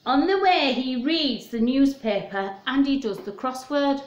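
Slow-speaking Englishwoman reading to children. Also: sits high, expressive, muffled recording. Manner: simple child-directed talk with questions. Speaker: children's storytime presenter. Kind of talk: reading a picture book aloud to children. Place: small room at home, indoors. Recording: roomy, echoey home recording.

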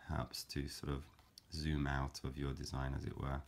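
A man calmly explaining a paper craft step by step, in a gentle, measured way with frequent fillers. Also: very deep voice, creaky. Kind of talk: calm step-by-step craft tutorial.

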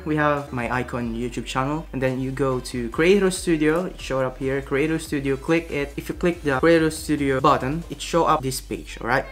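Young man chatting about a game. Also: mid-range voice. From Philippines. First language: Tagalog. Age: young adult. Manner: chatty, repeats himself, gestures with his hands while explaining. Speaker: gaming channel host. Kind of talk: casual gaming vlog explaining a giveaway. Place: small room indoors. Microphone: webcam at desk.

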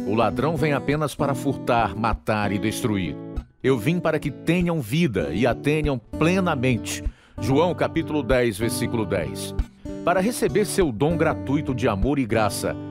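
A man with a Brazilian accent delivering scripted evangelistic narration as a radio announcer, formal and clearly phrased. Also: medium pitch. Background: guitar music.

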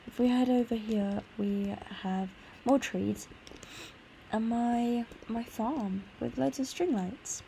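A woman's voice: mid-range voice, vocal fry, dark tone, slight background noise, low-quality recording. A tired woman with a congested sore throat gives an informal, meandering tour commentary on a video game. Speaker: gaming video host. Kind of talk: casual gameplay tour commentary.

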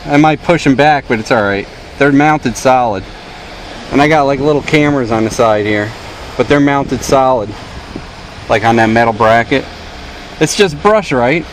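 A man's voice: mid-range voice, normal speed, low-quality recording. A man filming himself at a car wash, chatting casually and chuckling at what happens. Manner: amused, chuckles at mishaps. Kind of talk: casual running commentary. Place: inside his car at a car wash. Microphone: camera mounted on vehicle. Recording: loud wash machinery noise.

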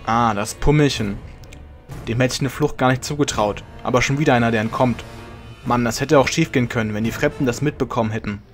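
A man's voice: medium pitch, a little fast, faint music behind, natural voice. A man playing a callous henchman who taunts his captive in a flippant way, in a fantasy audio drama. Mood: taunting. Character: brisk, callous male henchman taunting a captured child. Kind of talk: German fan audio drama acting.

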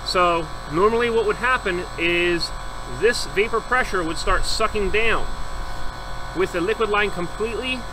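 A man with a fairly high-pitched voice walks through an air-conditioning diagnosis, explaining each reading methodically and step by step. He is recorded outdoors beside a running condenser on a close camera mic. A clear equipment hum and traffic noise sit behind him. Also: moderate pace. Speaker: HVAC service technician. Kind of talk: hands-on troubleshooting tutorial.

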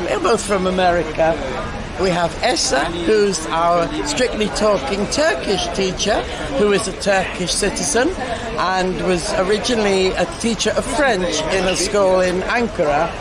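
An older man with a fairly high voice chats and jokes as he introduces friends around a table. He speaks close to the camera on an outdoor café terrace. Loud crowd chatter fills the background. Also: normal speed. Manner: chatty, jovial, teasing. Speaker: group organizer. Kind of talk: casual introductions. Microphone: camera held close.

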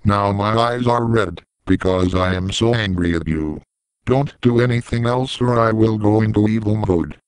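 A synthetic male voice playing a menacing, taunting computer error villain in a homemade comedy skit, with deadpan robotic delivery. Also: fairly low pitch, normal speed, smooth, lightly acted, poor audio.

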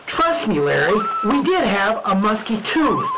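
A man's voice: sits high, very animated, measured pace, very noisy, exaggerated voice. A man playing an exasperated small-town police chief in a spoof radio drama, urgent and exclaiming, with music underneath. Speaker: amateur radio drama actor.

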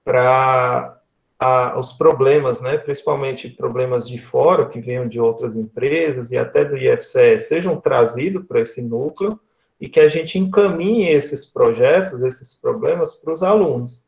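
Northeast brazilian guy in his 30s explaining stuff on a call, gravelly voice, keeps tagging sentences, muffled audio. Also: mid-range voice, unhurried, darkish tone. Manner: explanatory, frequent tag questions seeking agreement. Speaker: guest speaker, lecturer. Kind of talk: conversational online talk. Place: small indoor room, video call. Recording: dull, lo-fi video call audio.

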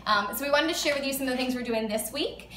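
A woman's voice: sits high, quick pace, sharp tone. A woman in her thirties hosting a casual cooking vlog in her home kitchen, chatty and upbeat, with frequent ums as she shows her food. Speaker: vlog host. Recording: echoey kitchen, faint hum.

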